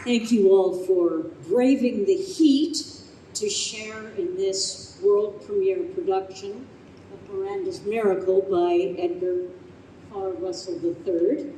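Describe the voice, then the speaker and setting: A woman hosting a live event informally, with a halting, hesitant way of talking. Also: steady tone, warm tone. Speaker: event emcee. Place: convention hall. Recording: echoey hall, faint noise and rumble.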